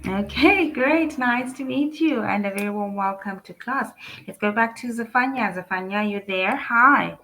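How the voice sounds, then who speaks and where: A woman with a gravelly, fry-tinged voice leads an online English class over a video call. She is encouraging and gives careful, detailed feedback to her students. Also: a little high, normal speed, sharp tone, poor audio. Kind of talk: live online class teaching.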